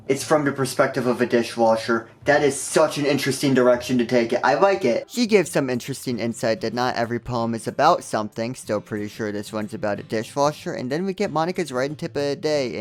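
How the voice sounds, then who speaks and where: A man narrates a game review with very lively, swinging intonation over background music. His delivery is scripted and comedic, full of sarcastic asides and exaggerated reactions. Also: medium pitch. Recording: music under the voice.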